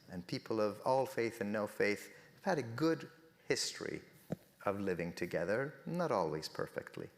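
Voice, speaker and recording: A man's voice: on the low side, fairly bright tone. A man whose first language is Persian, giving an earnest, poetic talk as a speaker at a faith festival and repeating phrases for emphasis. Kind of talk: inspirational talk to an audience. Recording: long hall reverb.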